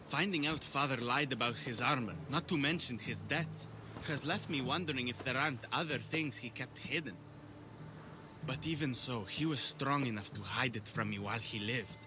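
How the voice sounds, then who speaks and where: A man's voice: medium pitch, moderate pace, noisy background, close to an everyday voice. A man acting a troubled ancient Greek war veteran in a video game, earnest and vulnerable in his delivery. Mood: sorrowful confession.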